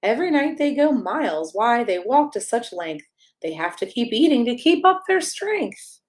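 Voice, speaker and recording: A woman's voice: fairly high pitch, sing-song, moderate pace. A woman reading a rhyming children's picture book aloud as a storyteller, in a playful, sing-song way with emphasis on the rhymes.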